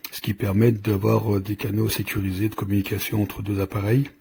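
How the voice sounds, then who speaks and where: Low, creaky-voiced man demonstrating a product. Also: level tone, fairly quick, warm tone. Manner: hesitant, improvised explaining. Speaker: product reviewer. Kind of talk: informal hands-on product demonstration.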